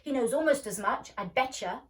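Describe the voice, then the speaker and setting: A woman's voice: on the high side, expressive, normal speed, far from the mic, close to an everyday voice. A woman voicing a superior, scornful young boy in a storybook, with an emphatic, condescending manner. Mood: insistent.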